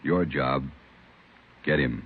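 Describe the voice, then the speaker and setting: A man announcing a 1950s radio drama with grave, terse, measured scripted narration. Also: very deep voice, very noisy. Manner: solemn, terse, measured phrases. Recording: muffled old radio recording.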